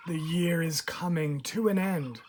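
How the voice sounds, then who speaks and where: Unhurried low-voiced woman reading a storybook. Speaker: picture book reader. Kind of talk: read-aloud of a rhyming children's storybook. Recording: clear background noise, bird calls. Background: turkeys gobbling and clicks.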